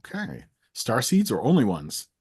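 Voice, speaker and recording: A man with a husky edge and a lively, swooping delivery. He plays interviewer, firing off quick either-or choices and teasing his guest as he goes. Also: mid-range voice. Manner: playful, teasing. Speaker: interviewer, host. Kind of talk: rapid either-or quiz in casual chat.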